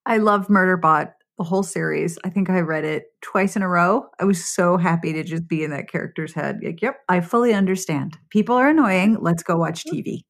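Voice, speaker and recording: A woman's voice: fairly low pitch, a little fast, hardly any pauses. A woman hosting a podcast in an informal, playful, conversational way. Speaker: podcast host. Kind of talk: chatty podcast hosting and sign-off.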